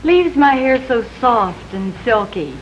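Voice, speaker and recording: A woman's voice: a little high, very animated, slow. A woman delivering a scripted live television commercial in a vintage fifties broadcast. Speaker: commercial spokeswoman. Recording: dull, faintly noisy 1950s TV broadcast.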